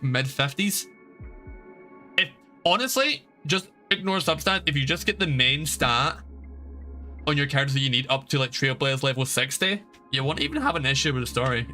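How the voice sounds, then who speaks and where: A young male game streamer with a bright, forceful voice, talking casually into a close mic. He rambles informally as he gives advice, with music playing faintly behind him. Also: on the high side. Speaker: video game livestreamer.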